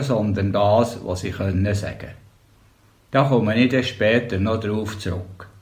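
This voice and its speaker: Older man with a Bernese Oberland accent. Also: mid-range voice. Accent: Bernese German, Bernese Oberland. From Bernese Oberland, Switzerland. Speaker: storyteller. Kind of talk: reading memoirs and dialect tales.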